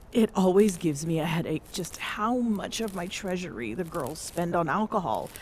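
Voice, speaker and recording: A woman's voice: on the low side, a little mellow, a little hiss or hum. A woman playing a tall Amazonian queen in an intimate ASMR roleplay, smitten and teasing, bossy yet tender, often slipping toward a whisper. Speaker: voice actor in audio roleplay.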